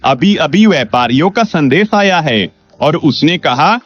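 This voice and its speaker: Male actor as dutiful servant, cartoon moral tale, high voice, excited, dull lo-fi recording. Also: measured pace. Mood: urgent. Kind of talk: Hindi animated moral story acting. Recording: dull lo-fi sound.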